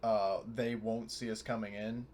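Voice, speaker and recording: A man's voice: on the low side, level tone, measured pace, a crowd in the background. Casual, sweary man at a gaming table. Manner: informal, sweary. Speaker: roleplaying game player. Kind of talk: casual table talk, partly in character.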